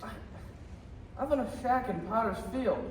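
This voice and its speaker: A young man playing a wary, put-upon taxi driver in a high school stage radio play, plain and guarded. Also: animated, moderate pace, a little hiss or hum. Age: young adult. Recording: very echoey distant theater recording.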